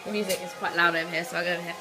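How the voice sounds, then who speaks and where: A young woman filming a casual vlog on a handheld camera, chatting brightly and laughing often. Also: on the low side, fairly even intonation, rapid-fire, music in the background. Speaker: vlogger host. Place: outdoors on a ski slope and in a chalet kitchen.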